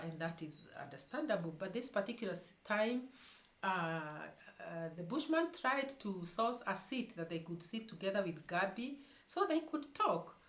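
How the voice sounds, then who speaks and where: A woman's voice: medium pitch, a bit bright. A woman vlogger telling a story to her viewers in a chatty way, with lots of fillers and restarts. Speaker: vlogger reacting to another channel. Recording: roomy echo.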